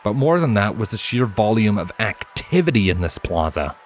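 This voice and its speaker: A man's voice: lowish voice, big pitch swings, moderate pace, a little hiss or hum. A man reading a story aloud as scripted narration. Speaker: fan fiction narrator.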